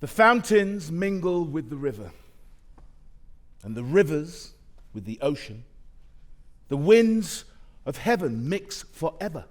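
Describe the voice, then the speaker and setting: A man, an actor, reciting romantic poetry on a theatre stage. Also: sits high.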